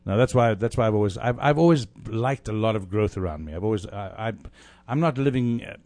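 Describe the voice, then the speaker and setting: A man's voice: on the low side, lively intonation, brisk, a little mellow, no room sound. A man from Africa talking casually as a host, often repeating words as he thinks. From grew up in Africa. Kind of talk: casual conversation.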